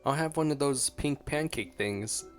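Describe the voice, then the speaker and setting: A woman's voice: extremely low pitch, a little slow, soft background music. A woman giving casual live reactions as a game streamer, chiming in and replying to the characters. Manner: reads along and reacts to characters. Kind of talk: casual gameplay reaction commentary.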